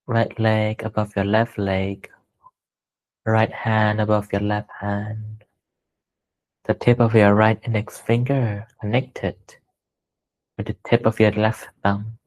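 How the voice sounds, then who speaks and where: A man leading a guided meditation as a teacher, speaking gently and deliberately with pauses between short phrases. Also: fairly low pitch, rough recording.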